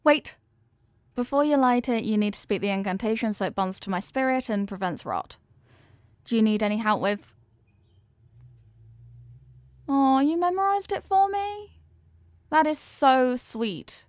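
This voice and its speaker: A woman's voice: on the high side, lively intonation, moderate pace, no room sound, close to an everyday voice. A woman playing a sweet, devoted headless horsewoman girlfriend in an intimate ASMR roleplay, affectionate, playful and gushing. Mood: excited, touched.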